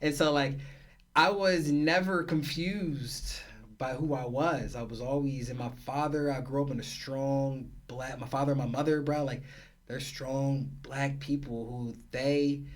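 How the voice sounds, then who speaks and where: Slangy, animated male storyteller. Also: mid-range voice, unhurried. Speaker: guest. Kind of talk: casual podcast chat.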